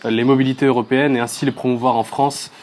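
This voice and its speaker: A man in his twenties, a trainee youth worker, giving a slow hesitant interview answer. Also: medium pitch, flat delivery, darkish tone, a little hiss or hum. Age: 20s.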